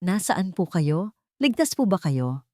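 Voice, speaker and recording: A woman's voice: fairly low pitch, very animated, a little slow, darkish tone, no room sound, studio quality. A woman voicing a desperate, protective mother in a dramatic audio story. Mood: worried. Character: desperate, protective young mother fighting for her child.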